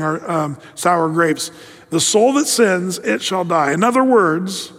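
A man preaching a verse-by-verse sermon, talking in a conversational way, reading scripture aloud and often restarting his sentences. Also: high voice. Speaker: pastor. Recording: hall reverb.

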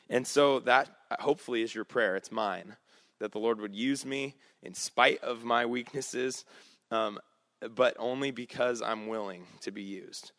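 Low-voiced man preaching, a bit raspy. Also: fairly bright tone, no echo, clean studio sound. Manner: conversational, with fillers and restarts. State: slightly raspy voice. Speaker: pastor. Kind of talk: sermon.

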